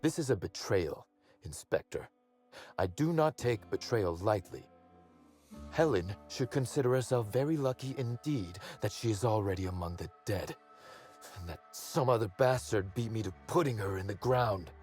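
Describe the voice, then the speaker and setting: Smooth vengeful crime boss, guy acting, formal wry game villain talk, really lively pitch, music under it. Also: moderate pace, lightly acted. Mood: cold anger. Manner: formal, articulate, wryly menacing. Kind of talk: video game character dialogue.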